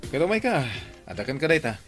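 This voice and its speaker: A man's voice: a little high, sing-song, fast, music in the background. A man voicing a commanding, impatient head of household in a radio drama. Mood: brisk.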